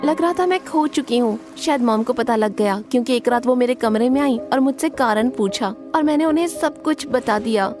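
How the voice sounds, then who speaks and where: Woman as a high-pitched teenage girl storyteller. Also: normal speed, hardly any pauses, close to an everyday voice. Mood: sad, firm. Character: earnest high school girl narrating her story. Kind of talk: scripted first-person narration of animated story. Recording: soft music bed behind the voice.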